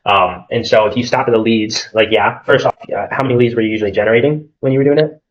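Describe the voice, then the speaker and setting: A man's voice: medium pitch, quick pace, dull audio. A young man from Arizona running a sales call, casual and filled with "like" and "at the end of the day". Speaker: marketing agency salesman.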